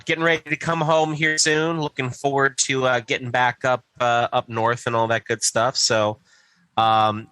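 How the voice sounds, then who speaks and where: A man's voice: mid-range voice, sharp tone, dry recording, low-quality recording. An American man from Pittsburgh telling casual anecdotes as a podcast co-host. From Pittsburgh, USA. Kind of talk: casual chat, storytelling.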